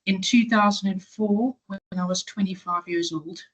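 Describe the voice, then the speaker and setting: A woman's voice: mid-range voice, fairly even intonation, moderate pace, breathy edge, poor audio. South African woman recounting her story. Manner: matter-of-fact, with frequent ums. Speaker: patient advocate speaker. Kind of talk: webinar presentation. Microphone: video call.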